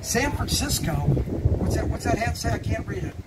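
A man's voice: sits high, big pitch swings, moderate pace, far from the mic, very noisy. A middle-aged man from Missouri giving a live cookware sales demonstration in an open tent at an outdoor fair, joking with the crowd in a folksy way.

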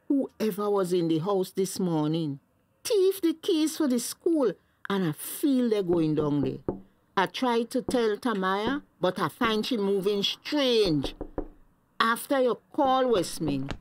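A woman's voice: medium pitch, darkish tone, no echo. A woman playing a worried, suspicious middle-aged Tobagonian mother in a radio drama, agitated and insistent. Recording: clear background noise with clicks.

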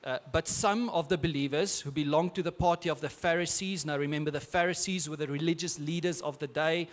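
A man in his thirties with an Afrikaans accent, preaching a sermon on a church stage into a handheld mic in a relaxed, conversational way with restarts. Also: fairly high pitch, brisk. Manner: conversational, with frequent filler and repeated words. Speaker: pastor. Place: large church stage, indoors.

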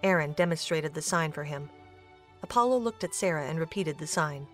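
A woman's voice: low-pitched, lively intonation, a little fast, soft background music. A woman reading scripted narration for an audio drama, as a storyteller.

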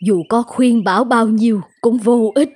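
An actress playing a sympathetic but firm older mother in an animated folk tale, with a husky voice. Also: fairly high pitch, moderate pace, dry recording. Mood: sympathetic, resolved.

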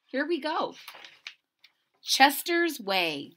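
Woman, middle-aged, children's read-aloud, higher-pitched, lively swooping intonation, deliberate delivery, asks the listeners questions. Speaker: storyteller reading aloud to children. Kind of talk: animated children's picture-book read-aloud with asides to listeners. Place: small indoor room at home.

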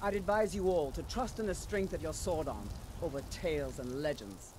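A performer playing a stern, dismissive, battle-hardened warrior in a fantasy video game. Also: male voice, fairly high pitch, normal speed, background noise, natural voice. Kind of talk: scripted fantasy game dialogue.

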